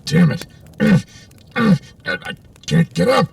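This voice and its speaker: Man acting a pompous, creaky-voiced hapless superhero. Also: sits high, animated, very slow, full character voice. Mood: dazed, boastful. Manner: melodramatic, boastful, exasperated. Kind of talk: comic superhero audio serial acting. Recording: distant, roomy sound with background noise.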